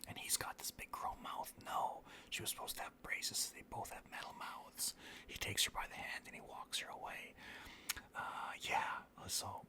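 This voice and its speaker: Whispering, rambling video host. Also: normal speed. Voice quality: breathy whisper. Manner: rambling, anecdotal, profane. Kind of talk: whispered ASMR-style casual rambling.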